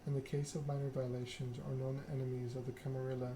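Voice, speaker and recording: A soft-spoken male game streamer talking in an ASMR style, measured and a little halting. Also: mid-range voice, flat delivery, a little hiss or hum, rough recording.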